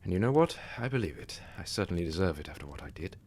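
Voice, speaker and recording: A man's voice: low-pitched, very animated, fast talker, darkish tone. A man playing a guilt-ridden, fatalistic man hunted by a monster, speaking in a formal, theatrical audio drama manner. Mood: resigned, haunted. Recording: faint background noise.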